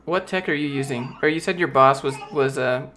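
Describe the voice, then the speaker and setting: A man in his late twenties hosting a casual livestream chat, relaxed and joking. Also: medium pitch, fairly quick, slight background noise. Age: 20s. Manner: relaxed, joking, playfully insistent. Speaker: livestream host.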